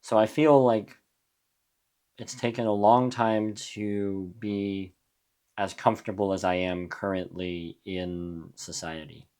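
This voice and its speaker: Very low-voiced man, reflective. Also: steady tone, a little mellow. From USA (New Mexico). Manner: reflective, halting, frequent restarts. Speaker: guest musician. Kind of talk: casual interview chat.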